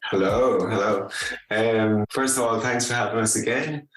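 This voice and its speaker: A man's voice: fairly low pitch, fairly even intonation, moderate pace, a bit bright, faint music behind. A middle-aged man casually introducing himself and his project as a startup founder. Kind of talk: casual self-introduction pitch. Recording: echoey room.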